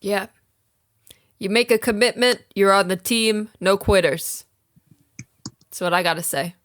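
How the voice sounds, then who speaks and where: A woman's voice: medium pitch, unhurried, a bit bright, dry recording, lightly acted. A woman playing a terse, team-minded sports coach in improvised comedy, earnest and plain-spoken. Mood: earnest, resolute. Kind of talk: improvised comedy character talk.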